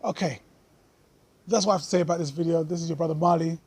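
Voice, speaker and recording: A man speaking earnestly to camera in his own vlog, thinking aloud with many pauses and fillers. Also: on the high side, fairly even intonation, a little mellow, husky. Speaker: vlogger. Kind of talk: unscripted commentary to camera.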